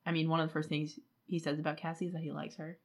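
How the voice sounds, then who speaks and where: A woman with a notably low-pitched voice speaks as a podcast co-host. She analyses a book casually, as if among friends. Her phrasing is loose and conversational, sprinkled with "like". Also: little pitch movement, racing. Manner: informal, conversational, frequent "like".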